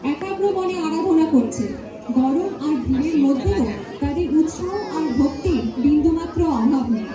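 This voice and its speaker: Woman acting high-pitched festival news reporter, echoey. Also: moderate pace, crowd noise, natural voice. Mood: brisk reporting. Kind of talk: scripted news-style commentary in stage play. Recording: echoey hall, distant mic, music, audience.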